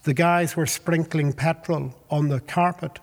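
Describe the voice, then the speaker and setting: An older man with a Northern Irish accent, telling his life story in an interview in a measured, hesitant way. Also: a little high, fairly even intonation, big-room sound. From Northern Ireland. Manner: measured, hesitant with fillers and restarts. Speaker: interviewee, Baptist minister. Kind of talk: reflective storytelling.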